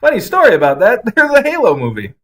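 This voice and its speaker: A man's voice: extremely high pitch, wildly swooping pitch, fast, bright, forceful tone. A man co-hosting a podcast in casual, agreeable conversation. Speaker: podcast co-host.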